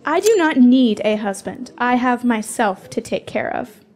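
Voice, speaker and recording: A fierce young warrior , played by a woman, with a slightly high voice. She is proud and defiant, speaking in bold, blunt declarations as in a fantasy radio drama. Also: animated, unhurried, darkish tone, soft background music. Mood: proud, dismissive.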